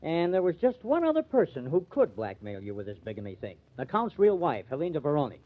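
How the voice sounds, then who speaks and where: Thin-sounding, high-voiced shrewd investigator. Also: male voice, wildly swooping pitch, fast talker, narrow, tinny audio. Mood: pressing. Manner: urgent, probing, emphatic. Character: shrewd, pressing male investigator and confidant. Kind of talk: 1950s radio mystery acting. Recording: thin, band-limited old radio recording.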